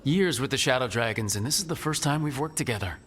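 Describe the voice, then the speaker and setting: Actor as earnest, mid-pitched male hero. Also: expressive, quick pace, a bit bright, soft background music, lightly acted. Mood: earnest, resolute. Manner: earnest, direct. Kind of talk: video game voice acting.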